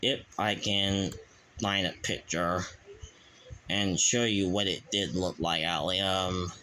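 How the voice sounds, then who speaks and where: A man hosting his own channel, showing his collection in a halting, rambling way, full of restarts and fillers. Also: sits low, fairly even intonation, bright, forceful tone. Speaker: channel host. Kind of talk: casual collection show-and-tell.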